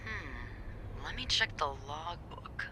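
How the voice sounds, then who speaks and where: An actress playing a sardonic, playful receptionist in a 1950s hotel mystery game, with a wry, teasing, conspiratorial manner. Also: female voice, low voice, lively intonation, slow, background noise. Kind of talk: video game cutscene acting.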